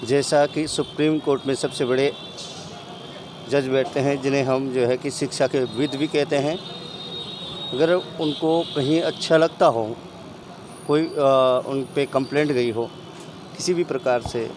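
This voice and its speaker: A man's voice: mid-range voice, fairly even intonation, a little slow, long pauses. A man giving a spontaneous, unscripted answer in an interview. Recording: noisy outdoor setting with vehicles.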